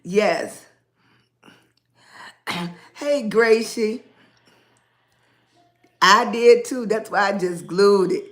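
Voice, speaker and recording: A woman's voice: mid-range voice, very slow, long pauses, a bit bright. A woman, chatting casually on a livestream and greeting viewers by name. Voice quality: raspy. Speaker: livestream host. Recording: echoey room.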